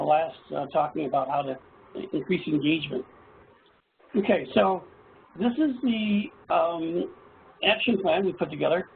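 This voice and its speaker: A middle-aged hoarse man, a college instructor, presenting haltingly with many fillers in an online workshop. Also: on the high side. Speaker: college instructor presenting his action plan. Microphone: video call. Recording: tinny, phone-like call audio with faint noise.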